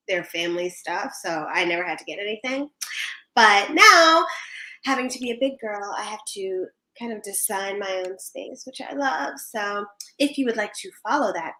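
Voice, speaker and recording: A woman's voice: mid-range voice, sharp tone. A young woman chatting casually to livestream viewers as co-host of a recap show. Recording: echoey room.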